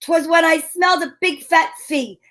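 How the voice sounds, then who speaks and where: Sassy high-voiced lady private eye, played by a woman. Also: normal speed, pushed and bright. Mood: wry narrating. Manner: brash, slangy, wry. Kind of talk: old-time radio detective acting.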